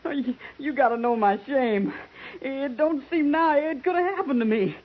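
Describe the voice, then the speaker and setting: An actor playing a frightened young army deserter, pleading and stammering, with a voice. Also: male voice, very high-pitched, moderate pace. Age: young adult. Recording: tinny old radio broadcast.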